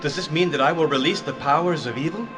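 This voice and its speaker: A man's voice: a little high, fast, natural voice. A man voicing a wary young hero in a game cutscene, questioning and skeptical. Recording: game music behind.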